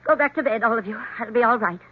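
A woman's voice: a little high, fast, close to an everyday voice. A woman acting an older, imperious and easily frightened aunt in a 1940s style radio mystery. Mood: anxious, commanding. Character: resentful, frightened older aunt, mistress of a big house. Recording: muffled vintage radio recording.

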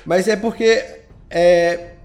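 A man's voice: high-pitched, fairly even intonation, takes it slowly, a little mellow. A Brazilian man chatting casually as a podcast guest, explaining his creative work in informal, slangy speech. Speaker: podcast guest, audio drama creator and editor. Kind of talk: casual interview chat.

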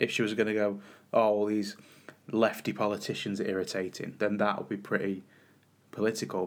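Loud, chatty man. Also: on the low side. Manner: loud voice that carries. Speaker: podcast co-host. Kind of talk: casual chat.

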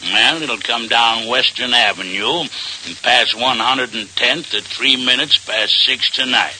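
An actor playing a fat, smug, glib criminal photographer with a pompous, flippant manner in an old radio crime drama. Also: male voice, mid-range voice, measured pace, pushed and bright, a little hiss or hum, rough recording. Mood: scheming, breezy.

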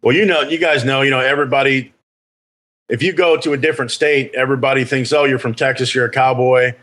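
A man's voice: mid-range voice, steady tone, fast talker, a bit bright. A man in his thirties from California, a podcast guest telling stories in a rambling way with many fillers. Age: 30s. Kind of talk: casual storytelling chat.

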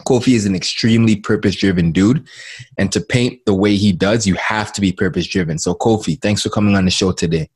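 A male podcast host with a fairly low voice leads an interview. He asks rambling questions and keeps circling back to repeat his words. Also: quick pace, slightly rough.

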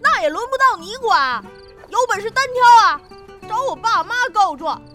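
A woman voicing a brash little bully of a boy in an audio drama, shouting and taunting. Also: very high-pitched, sing-song, moderate pace, loud music behind the voice. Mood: furious, threatening. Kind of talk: Chinese audio drama acting.

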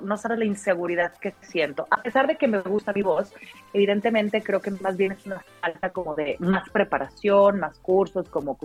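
A Mexican woman in her thirties with a deep, full-bodied voice, telling personal stories casually as an interview guest, in an expressive way. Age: 30s. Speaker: interview guest, radio announcer. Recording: dull lo-fi sound, music underneath.

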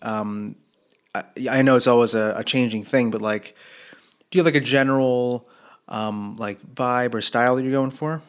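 A man's voice: mid-range voice, fairly even intonation, moderate pace, clear, resonant tone. A man interviewing a singer in a casual chat, hesitant and rambling with many fillers.

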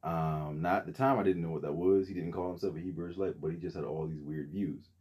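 A man's voice: low voice, steady tone, fast talker, a little mellow, husky. A young man from the Bahamas, a podcast guest telling his story in a rambling, casual way with many fillers and restarts.

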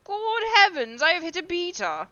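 A woman voicing a hot-tempered aristocratic gentleman on a hunt, speaking loudly and brusquely. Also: medium pitch, a little slow, dry recording, lo-fi sound, natural voice. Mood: alarmed angry. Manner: loud, brusque and irritable. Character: blustering aristocratic male sportsman, hot-tempered.

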